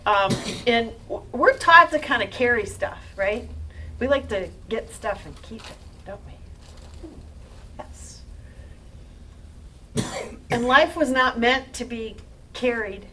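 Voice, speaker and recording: A woman's voice: on the high side, animated, takes it slowly, long pauses, bright, forceful tone, distant mic, a little hiss or hum. A woman presenting live to an audience, explaining ideas in a plain-spoken way.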